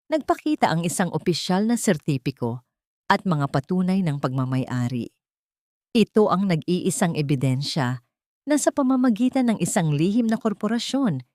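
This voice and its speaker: Female Filipino narrator, lower register, measured dramatic storytelling, dry recording. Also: a little mellow, no room sound.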